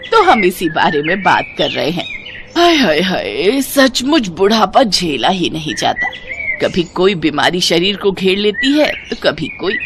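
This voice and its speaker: A woman's voice: lowish voice, background noise. A woman voicing a frail, wheedling old woman who is really a sly con artist, in a storybook tale, drawling and coaxing. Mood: lamenting.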